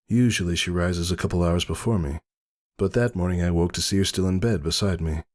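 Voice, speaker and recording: A man narrating a first-person story dramatically, in a brooding, measured and theatrical delivery. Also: sits low, a little mellow. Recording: music behind the voice. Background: music.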